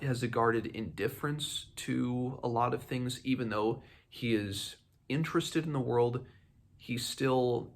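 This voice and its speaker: A man hosting a book read-along, talking casually and haltingly as he thinks aloud. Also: mid-range voice, creaky.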